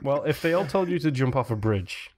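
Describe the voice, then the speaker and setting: A man's voice: mid-range voice, expressive, fairly quick, background noise. A man co-hosting a podcast, putting dry, teasing asides into casual gaming chat. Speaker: podcast co-host.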